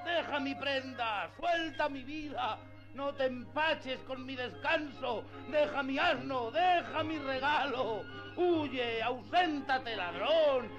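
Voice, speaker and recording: A man's voice: very high voice, slow, highs cut off. A man playing a simple, outspoken, good-hearted peasant squire in a radio drama, rustic and deferential yet cheeky. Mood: outraged shouting. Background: faint background music.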